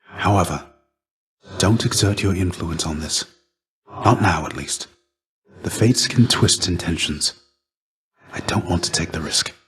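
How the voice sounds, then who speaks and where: Deep voiced old-world greek warrior shade, fatherly game mentor, gentle n weary, kinda formal and measured. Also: breathy edge, exaggerated voice. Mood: earnest, gentle. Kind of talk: video game character dialogue.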